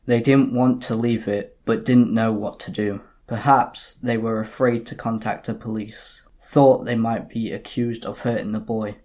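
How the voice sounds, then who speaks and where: A man playing a calm, sober detective inspector in an audio drama, speaking in a measured, formal way. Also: lowish voice, natural voice. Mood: calm, consoling. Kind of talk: community audio drama narration and dialogue.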